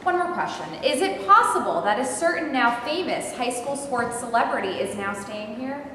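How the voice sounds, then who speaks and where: Actress as pompous, probing TV reporter for a stage comedy reading, higher-pitched, strong room echo. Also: female voice, lively intonation, normal speed, bright, forceful tone. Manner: declarative, formal, probing. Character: eager, self-important TV star reporter. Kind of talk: mock news report in a staged play reading. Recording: echoey hall, clear background noise.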